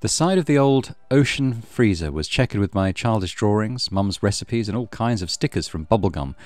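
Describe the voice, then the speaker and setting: A man reading a visual novel aloud as a let's play host, with expressive storytelling and casual commentary. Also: fairly low pitch, moderate pace. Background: soft game music.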